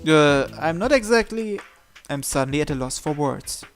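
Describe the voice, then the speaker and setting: Higher-voiced man reading dramatically. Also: lively intonation, normal speed. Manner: acts out the protagonist's lines. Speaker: video host reading a visual novel aloud. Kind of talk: dramatized reading of narration and dialogue. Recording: music playing behind the voice.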